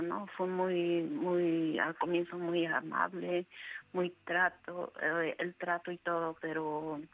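Woman, Peruvian accent, radio caller, lowish voice, very slow, halting personal account, narrow telephone sound. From Peru. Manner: hesitant, halting. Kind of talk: personal account told on air. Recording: band-limited phone call to radio.

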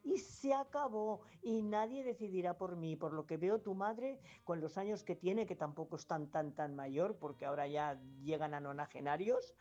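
A woman's voice: lowish voice, moderate pace, hardly any pauses, warm tone. A Spanish grandmother from La Mancha in her fifties, calling a radio show to give earnest, insistent advice, repeating herself and hesitating often. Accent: Spanish from Spain. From La Mancha, Spain. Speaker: radio caller. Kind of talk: emphatic advice on a phone-in. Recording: dull lo-fi phone-in line with faint music.

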